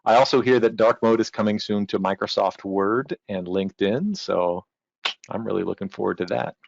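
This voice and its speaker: A man's voice: on the low side, normal speed, gravelly, dry recording. Man presenting a tutorial, dull dry sound. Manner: casual, reassuring, conversational. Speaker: technical documentation team member, presenter.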